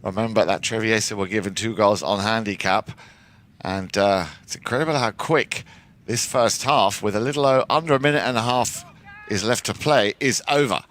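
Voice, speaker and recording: A man's voice: fairly low pitch, moderate pace, fairly bright tone. A man giving live commentary on a polo match as the main play-by-play commentator. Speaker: sports commentator.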